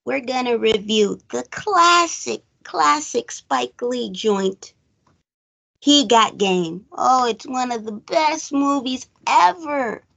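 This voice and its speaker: A woman with a fairly high voice and a clearly creaky edge hosts a casual review show. She takes her time, stating opinions firmly and repeating phrases for emphasis. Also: a bit bright. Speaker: host of a movie review show.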